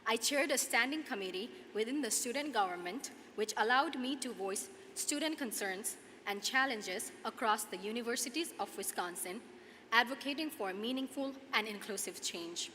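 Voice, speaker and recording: A woman in her twenties from Nepal, with a Nepali accent, delivering a prepared commencement speech in an earnest, deliberate manner with dramatic pauses. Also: a little high, lively intonation, pushed and bright. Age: 20s. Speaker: student commencement speaker. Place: large hall at a podium, audience ambience. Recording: echoey hall PA, clear background noise.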